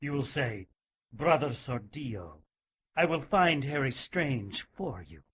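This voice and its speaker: A man's voice: mid-range voice, expressive, slow, breathy edge, low-quality recording. An actor playing a cruel, zealous religious inquisitor in an audio drama, menacing and mock-polite as he coldly gloats. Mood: threatening.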